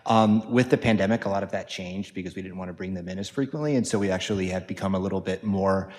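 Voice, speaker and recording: A low-voiced male physician on a conference panel answers questions thoughtfully, often pausing with fillers. His voice has a very deep pitch and rings with a long hall reverb. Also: a little mellow.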